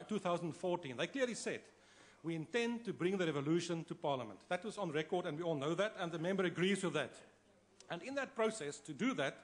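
Combative South African man at a podium. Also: high voice. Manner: combative, emphatic, repeats for force. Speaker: member of parliament. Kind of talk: political debate speech. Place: large parliamentary chamber. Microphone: podium microphone.

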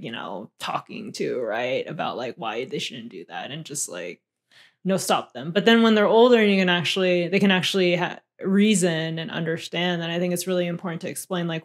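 A young woman co-hosting a podcast in a small room, talking in a relaxed, reflective way and laughing often, close to a studio microphone. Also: lowish voice. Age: young adult. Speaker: podcast co-host. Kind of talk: casual chat. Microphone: boom mic on stand.

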